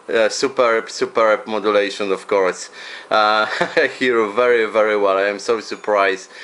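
A man's voice: fairly low pitch, a bit bright, smooth. A man making casual amateur radio contact, politely and in hesitant English, using phonetic call signs. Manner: friendly, polite, hesitant non-native English. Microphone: radio desk microphone.

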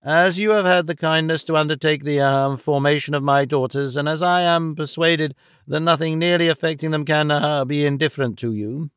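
A pompous, indignant middle-aged gentleman , acted out by a male narrator, pitched high and very clearly voiced. He blusters in grandiose Victorian storybook phrases, halting and hemming as he goes. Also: fairly even intonation, no room sound, clean studio sound, lightly acted. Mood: stately, halting. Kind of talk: audiobook character acting of a Victorian novel.

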